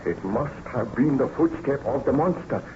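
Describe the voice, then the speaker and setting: A man's voice: mid-range voice, animated, moderate pace, close to an everyday voice. A man playing a tormented, guilt-ridden scientist nobleman in formal, theatrical old-time radio drama style. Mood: urgent, haunted. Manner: grave, melodramatic, formal theatrical diction. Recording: dull, noisy old radio recording.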